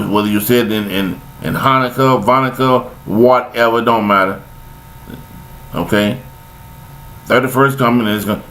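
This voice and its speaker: A man's voice: mid-range voice, fairly bright tone, poor audio. An older man, talking in a rambling, preachy monologue, repeating himself with folksy sayings. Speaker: vlogger giving life commentary.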